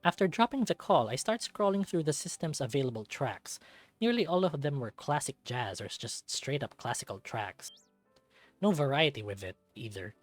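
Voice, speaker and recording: A man's voice: mid-range voice, expressive, moderate pace, dry recording, faint music behind. A man reading a first-person story aloud in a dramatic narrating style, as for a visual novel.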